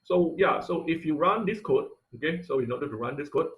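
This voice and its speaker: Halting male online tech instructor. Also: fairly high pitch, expressive, highs cut off. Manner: halting, rephrasing mid-sentence. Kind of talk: live online coding tutorial, unscripted. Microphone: computer mic on an online class call.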